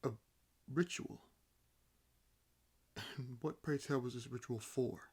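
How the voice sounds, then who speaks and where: A man playing a sardonic, freshly awakened vampire in a roleplay audio drama, talking casually and haltingly. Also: medium pitch, fairly even intonation, a little mellow, slightly rough. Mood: wry.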